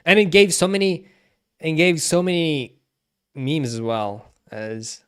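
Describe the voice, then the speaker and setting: A man giving casual livestream commentary, halting and repeating his phrases as he thinks out loud. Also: a little high, animated, clean tone. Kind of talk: casual livestream commentary, ranking game cutscenes.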